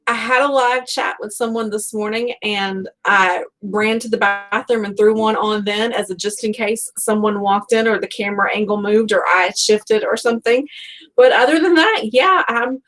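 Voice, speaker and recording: A woman's voice: mid-range voice, moderate pace, a bit bright, rough recording. A woman hosts an informal online book show. She talks in a rambling, conversational way, with a self-deprecating streak as she shares bits of everyday life. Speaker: host of a book fan live show. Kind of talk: casual chat.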